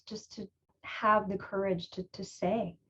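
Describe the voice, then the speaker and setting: A woman's voice: medium pitch, level tone, measured pace, fairly bright tone, a little hiss or hum. Woman poet talking, slightly muffled call audio. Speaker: poet on a panel. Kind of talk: casual panel discussion. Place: remote video call. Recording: dull, lo-fi video call audio.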